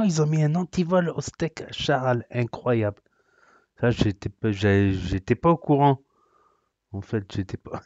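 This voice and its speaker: A man's voice: a little high, a little mellow, no echo, dull audio. A man hosting a reaction video, sarcastic and rambling, with many hesitations. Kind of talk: casual reaction commentary.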